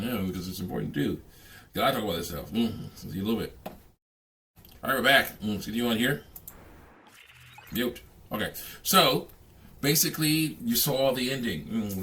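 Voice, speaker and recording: A man giving casual, off-the-cuff reaction commentary on a game, talking in a halting, rambling way with frequent hums and chuckles. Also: medium pitch, a bit bright.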